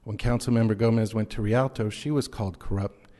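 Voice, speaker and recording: A council member, a man with a low, dark voice, speaks into a desk microphone with plenty of ums and uhs. He turns stern and lecturing as he goes on. The chamber adds a long, lingering reverb to every word. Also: steady tone. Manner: halting, many fillers, turns stern and reproachful. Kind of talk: formal public statement at a meeting.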